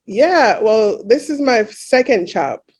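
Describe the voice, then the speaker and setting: A woman's voice: a little high, a little mellow. A woman in her thirties from Jamaica, a guest in a casual interview, talking reflectively with many "you know" fillers.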